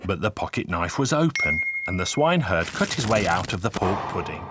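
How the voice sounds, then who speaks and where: A man narrating a scripted folk tale in a measured, expressive storybook manner. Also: low-pitched, very noisy. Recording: music under the voice. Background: music.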